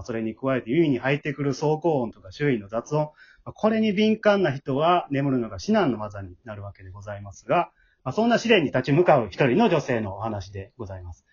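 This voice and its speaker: A man with a Kansai accent hosting as leader of an amateur drama troupe, in a casual but polite style. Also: mid-range voice. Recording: dull lo-fi remote home recording.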